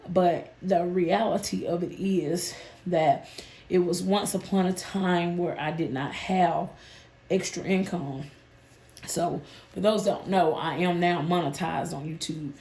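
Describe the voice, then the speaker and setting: A woman, hoarse and a bit nasal from a sinus illness, chatting casually with her viewers about her budget. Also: on the low side, steady tone, unhurried, dark tone, reverberant. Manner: chatty, addresses viewers as "y'all". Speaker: budgeting channel host.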